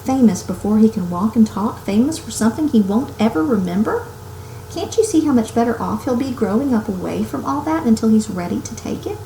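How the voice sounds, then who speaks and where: Woman as an old wizard man, measured, assured, grave, storybook headmaster, echoey room. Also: a little high, lively intonation, vocal fry, mellow, a little hiss or hum. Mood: firm, serious. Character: calm, firm, wise old male wizard headmaster.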